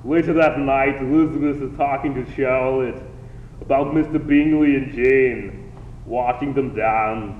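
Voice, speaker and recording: A man's voice: medium pitch, steady tone, unhurried, close to an everyday voice. A man playing a formal narrator, reading a scripted 1920s radio drama parody as a storyteller. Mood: storytelling. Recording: tinny, echoey, distant, faint hiss.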